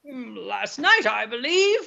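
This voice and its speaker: Woman acting a high, bright, flippant business gossip. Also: big pitch swings, slow pace, gravelly, dull audio, exaggerated voice. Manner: offhand, amused. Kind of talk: theatrical radio play acting.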